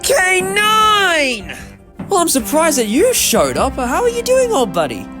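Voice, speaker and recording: A man playing an eccentric, affectionate time-travelling adventurer with an excitable manner in a fan audio drama. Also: very high-pitched, measured pace, over music, heavily acted. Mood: delighted. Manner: animated, exclamatory.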